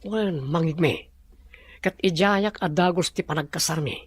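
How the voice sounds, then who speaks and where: A man's voice: on the high side, a little slow, husky, dry recording. A man with an Ilocano accent playing a scheming, money-hungry boss in a radio drama. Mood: smug, calculating.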